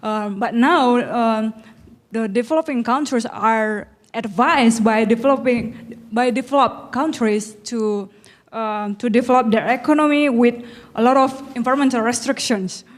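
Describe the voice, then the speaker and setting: Woman from Indonesia, higher voice, halting audience question. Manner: halting, many fillers. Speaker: audience member asking a question.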